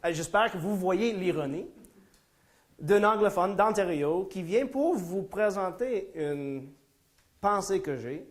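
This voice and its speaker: A man from Ontario with an English-speaking accent, giving a talk in French to a small room. His voice sits a little high for a man, and he hesitates often, restarting phrases and filling gaps as he works through his points. He mixes in dry jokes at his own expense. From Ontario, Canada. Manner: hesitant, many fillers and restarts, self-deprecating humor. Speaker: lecturer. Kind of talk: live conference talk. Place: lecture room before a small audience.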